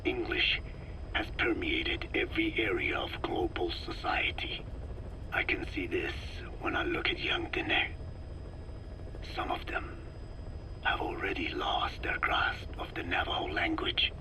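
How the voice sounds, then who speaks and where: An actor playing a frail, learned old Navajo scientist in a video game, lecturing gravely in slow, measured phrases with a breathy rasp. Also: male voice, sits low, in a whisper, rough recording. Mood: grave, foreboding. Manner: slow, solemn, measured, deliberate. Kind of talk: dramatic game monologue, lecturing.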